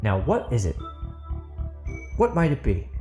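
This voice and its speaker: Man acting as shrewd grand chieftain, mid-pitched, measured, formal, probing, fantasy audiobook, music behind. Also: wildly swooping pitch, mellow. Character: shrewd, deliberate bearded male grand chieftain.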